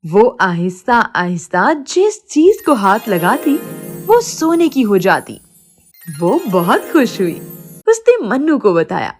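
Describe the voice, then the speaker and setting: A woman's voice: mid-range voice, wildly swooping pitch, takes it slowly, faint music behind. A woman narrating a scripted moral story for children as a storyteller.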